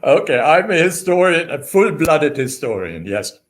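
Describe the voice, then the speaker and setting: Older man, German accent, academic guest, halting and measured delivery. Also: a little high, very animated. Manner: measured, many "uh" fillers. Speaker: guest historian. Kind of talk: interview answers, lecturing.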